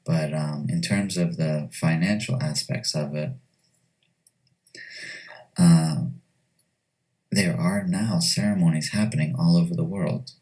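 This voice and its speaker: A man giving reflective, measured interview answers, pausing often as he thinks. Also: sits low, fairly even intonation, darkish tone. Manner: measured, thoughtful, hesitant with pauses. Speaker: retreat director being interviewed. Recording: slightly distant microphone.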